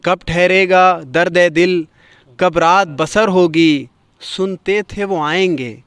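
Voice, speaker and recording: Man, poetry host, slightly high voice, dry close sound, expressive literary delivery, measured. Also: smooth, no room sound. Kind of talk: Urdu poetry recitation and commentary.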